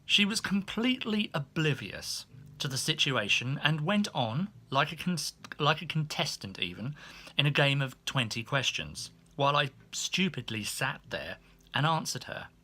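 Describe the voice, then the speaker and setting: A man reading a humorous first-person memoir as an audiobook, in an expressive storytelling manner. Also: fairly high pitch, normal speed. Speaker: audiobook narrator.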